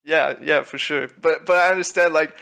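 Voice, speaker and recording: Young man, from Switzerland, gravelly voice, slightly high, relaxed, humble, lots of restarts. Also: moderate pace. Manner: laid-back, humble, filler-heavy with frequent restarts. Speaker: tournament winner, guest. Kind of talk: casual video-call interview.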